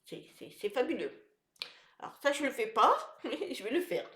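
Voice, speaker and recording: A woman's voice: a little high, very animated, normal speed, fairly bright tone, echoey room. A woman with a head cold, her voice nasal and stuffy. She gives wellness tips to her viewers in a hesitant, casual way, with many fillers and restarts. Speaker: wellness vlogger. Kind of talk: casual talk to camera.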